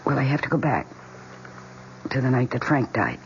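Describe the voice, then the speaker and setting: A dull, hissy old radio recording carries an anxious young wife with a dark secret. Her delivery is intimate and emotional, with hesitations, in the manner of seventies radio drama. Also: very deep voice, very animated, moderate pace. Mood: hesitant, confessing. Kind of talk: 1970s radio drama acting.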